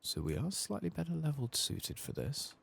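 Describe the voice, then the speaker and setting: A man giving soft-spoken, sometimes whispered ASMR commentary as he plays a video game, murmuring to himself and casually swearing, close to the microphone. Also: a little high, huge pitch swings, a little fast, creaky, breathy edge. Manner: murmured running self-talk, casually sweary. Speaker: streamer playing a video game.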